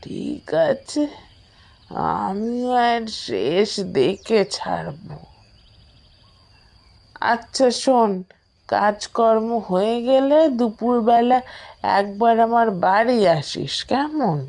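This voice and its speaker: A woman voicing a caring, shrewd old village grandmother in a cartoon, speaking in a measured, inquisitive way. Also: a little high, expressive, creaky, a little mellow, heavily acted. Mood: resolved. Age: older. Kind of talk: Bengali moral-tale cartoon voice acting.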